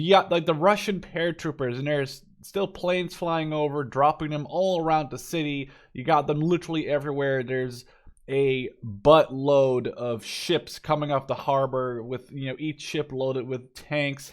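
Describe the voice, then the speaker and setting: A man gives casual, rambling, expressive gameplay commentary in informal English. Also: medium pitch. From Netherlands. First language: Dutch. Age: 20s. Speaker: gaming video host. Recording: game gunfire and artillery behind. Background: video game battle sounds.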